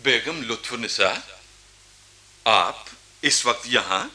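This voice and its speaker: An actor playing a proud, impassioned young Indian ruler in a theatrical Hindi radio play, with a grand, deliberate, declamatory delivery. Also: male voice, medium pitch, animated, sharp tone, full character voice. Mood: brooding, haunted.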